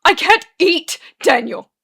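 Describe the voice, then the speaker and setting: Woman acting an anguished, furious young man, high-pitched. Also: wildly swooping pitch, brisk, vocal fry, sharp tone, breathy edge, full character voice. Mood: desperate shouting. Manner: explosive, wailing, sweary outbursts.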